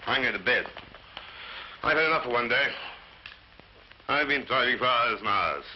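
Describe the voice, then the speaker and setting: An actor playing a sardonic, weary, bitter brother in a scornful, theatrical 1940s British film style. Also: male voice, mid-range voice, animated, moderate pace, a little hiss or hum, natural voice. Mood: bitterly scornful. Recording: muffled 1940s film soundtrack.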